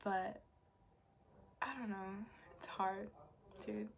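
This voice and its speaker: A woman's voice: medium pitch, little pitch movement, very slow, vocal fry, slight background noise. A young woman talking straight to camera in a personal vlog. She is frank and confessional, rambling a little with casual fillers and the odd swear word. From Japanese, raised in Indonesia and New Zealand. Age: young adult. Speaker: vlogger.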